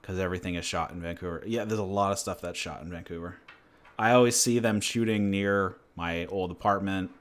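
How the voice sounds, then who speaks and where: Low-voiced laid-back man rambling casually. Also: steady tone, fairly quick. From New Hampshire, USA. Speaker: channel host. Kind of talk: casual livestream chat and Q&A.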